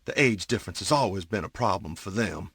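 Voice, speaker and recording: A husky middle-aged man speaks in a dry, close recording. He is a judge in an audio drama, weary and full of regret, and talks in a subdued way. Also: low-pitched, animated, a little fast, fairly bright tone, dry recording, heavily acted. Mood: weary, resigned. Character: remorseful, weary judge, older husband and father.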